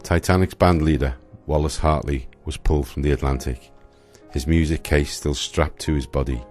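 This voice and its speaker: Narrator, male, exceptionally low voice, solemn storytelling for a radio play, faint music underneath. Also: fairly even intonation, moderate pace, a little mellow. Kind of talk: scripted radio drama narration.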